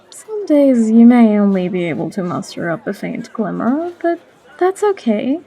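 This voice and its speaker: A woman acts out a tavern barkeep who tells fortunes in an audio roleplay. Her voice is fairly high and mellow, and she takes her time, warmly teasing and coaxing like an old confidante. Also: husky, full character voice. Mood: gentle, encouraging. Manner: coaxing, unhurried, calls listener love. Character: Old Red, warm teasing female barkeep and fortune teller. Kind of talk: character audio roleplay acting.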